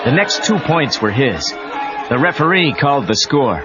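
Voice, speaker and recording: Animated male storybook narrator on muffled cassette. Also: mid-range voice, measured pace. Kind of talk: dramatic scripted storybook narration. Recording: muffled cassette, music and crowd behind.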